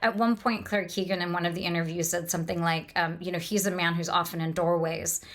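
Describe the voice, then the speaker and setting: A woman's voice: lowish voice, fairly even intonation, a little fast, a bit bright. A woman lecturing on literature off the cuff, in an enthusiastic, discursive way that explains as it goes. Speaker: lecturer and host of a literature podcast.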